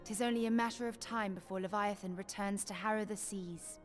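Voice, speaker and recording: Actress as a composed fantasy investigator, mid-pitched. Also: female voice, fairly even intonation, faint music behind, lightly acted. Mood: grave, urgent. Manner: formal, measured, archaic diction. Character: composed, shrewd female investigator in a fantasy world. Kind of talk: dramatic video game voice acting.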